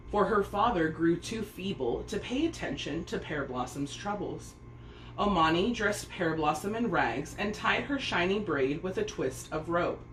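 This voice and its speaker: A woman with a slightly low voice reads a children's story expressively. The room is clearly echoey, and there is faint noise behind her. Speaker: storybook reader.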